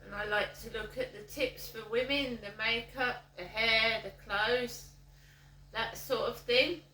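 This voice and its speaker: A middle-aged woman talks in an unhurried, wandering way, trailing off and starting over with plenty of ums. Her voice carries a roomy echo, with a faint hum underneath. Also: a little high, fairly even intonation, pushed and bright. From South East London. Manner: slow, meandering, with frequent ums and restarts. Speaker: vlogger. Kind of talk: casual rambling vlog monologue. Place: outdoors on a walk with a dog.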